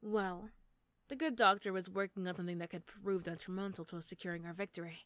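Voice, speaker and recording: A woman plays a female supervillain for an ASMR roleplay, threatening and teasing in the same breath. She is sardonic and begrudgingly fond, and the sound is dry and close, at a medium pitch. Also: steady tone, quick pace, a bit bright, no echo, natural voice. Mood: dry, casual.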